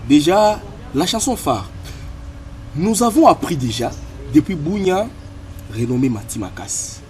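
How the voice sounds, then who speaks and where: A man hosting a gospel web show, interviewing a singer in French mixed with Lingala, with many pauses. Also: on the high side, very animated, darkish tone, a little hiss or hum. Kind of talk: casual interview.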